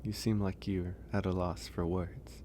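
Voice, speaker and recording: A man acting a gentle, flirtatious, lonely werewolf in a soft-spoken ASMR romance roleplay. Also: low voice, flat delivery, slight background noise. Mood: warm, apologetic. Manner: soft-spoken, unhurried, reassuring.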